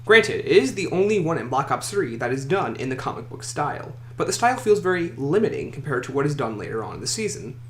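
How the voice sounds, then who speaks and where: Echoey man's voice with lively, swinging pitch. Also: fast, few pauses. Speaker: list video host. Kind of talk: scripted countdown commentary. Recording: echoey room, faint noise.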